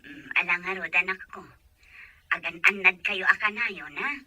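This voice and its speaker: Anxious Filipino mother on a telephone, played by a woman; very sharp, husky tone, caring, audio drama. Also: lowish voice, normal speed. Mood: worried, tender. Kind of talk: amateur Ilocano audio drama acting.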